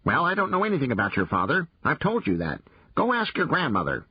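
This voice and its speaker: A tiny, ill-tempered male forest creature bickers in a high, pinched, oddly colored voice. His lines jump up and down in pitch. The audiobook sound is dry and slightly dull. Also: huge pitch swings, slightly rough, dry recording, exaggerated voice. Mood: irritable. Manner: irritable, snappish, quick to bicker. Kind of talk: audiobook character voice.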